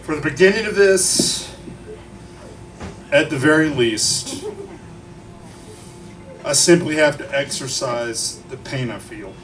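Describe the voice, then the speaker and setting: A man delivering an informal, emotional eulogy to a gathering in halting, broken phrases. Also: a little high, expressive, a bit bright. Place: memorial gathering in a bar. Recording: distant mic, faint venue noise.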